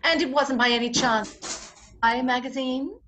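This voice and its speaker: A woman playing a proud, sharp-tongued society bride in radio comedy style, with an imperious, theatrical manner. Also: high voice, unhurried, slight background noise. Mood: indignant, cutting. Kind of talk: 1940s radio comedy acting.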